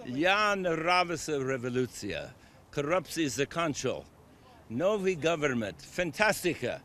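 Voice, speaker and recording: An American man, a passerby, answering a street interviewer in broken Russian mixed with English words, with many pauses. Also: on the high side, very animated, a bit bright, faint music behind. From United States. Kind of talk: street vox pop.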